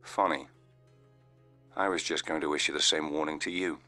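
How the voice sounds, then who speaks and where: An actor playing a cool, terse British secret agent in a video game, with a British accent and a clipped, dry delivery. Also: male voice, rumbling low, steady tone, normal speed, bright, forceful tone, faint music behind. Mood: cool, wry. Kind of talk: dramatic video game dialogue.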